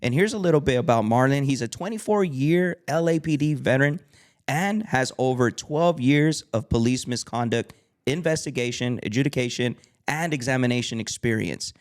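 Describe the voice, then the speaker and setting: A man's voice: medium pitch, moderate pace. Enthusiastic podcast host man. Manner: enthusiastic, lots of ums and restarts. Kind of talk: casual chat.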